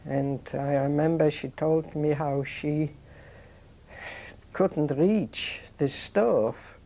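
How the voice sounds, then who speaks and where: An older woman, recalling her childhood in an oral history interview, talking in a halting, reflective way with many restarts. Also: low voice, mellow. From Poland. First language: Yiddish. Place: indoors, seated on a sofa.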